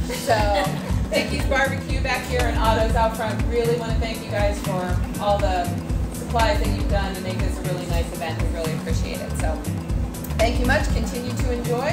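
American woman, middle-aged event host, high voice, emphatic informal announcing, loud music behind. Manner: emphatic, colloquial, prompting listeners with questions. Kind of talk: informal announcement to a live gathering. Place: indoor venue at a mixer event. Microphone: camera a few metres away. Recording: roomy, distant mic, loud background music.

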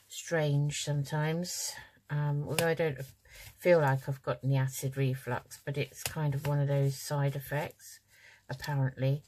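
A woman with a low, slightly croaky voice talks through a craft project. She is chatty and informal, thinking aloud as she works at her table. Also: a little mellow, slight background noise, rough recording. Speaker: craft channel host. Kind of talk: casual craft tutorial, talking while working.

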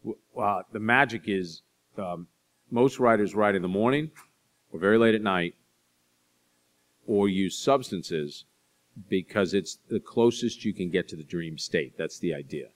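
A man in his forties telling animated, self-deprecating stories to an audience on a library stage. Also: low voice, a little slow, long pauses. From Boston area. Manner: animated storyteller, acts out voices in anecdotes, self-deprecating. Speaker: author speaking to an audience. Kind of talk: storytelling talk and audience Q&A. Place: library stage in front of a curtain.

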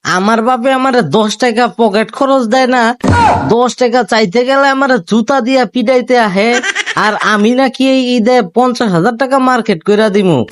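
A man voicing a hapless, scheming young son in a comic cartoon, whiny and exclaiming, with a Bangladeshi accent. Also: extremely high pitch.

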